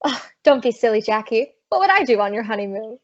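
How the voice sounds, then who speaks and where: A woman's voice: fairly high pitch, sing-song, brisk, dull audio. A woman playing a brassy, big-hearted, wisecracking working woman in an old-time radio comedy. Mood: breezy, wry.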